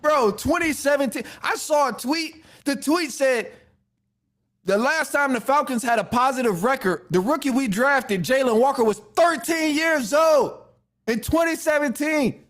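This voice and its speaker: High-pitched man ranting as sports podcast host. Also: measured pace, fairly bright tone. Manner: exasperated, rambling, repeats phrases for emphasis; informal slang, mild swearing. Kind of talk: casual sports rant to listeners.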